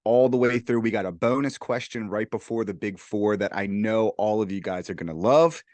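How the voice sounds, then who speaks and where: A man's voice: lowish voice, moderate pace, darkish tone, dry recording. Upbeat male co-host, dry sound. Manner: upbeat, enthusiastic. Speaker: podcast co-host. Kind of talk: podcast intro chat.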